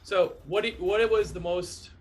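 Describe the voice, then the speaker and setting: Male interviewer, friendly, fillers, slightly high voice. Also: steady tone, measured pace, a little mellow, faint music behind. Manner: friendly, asks questions with fillers. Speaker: interview host. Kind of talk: casual interview.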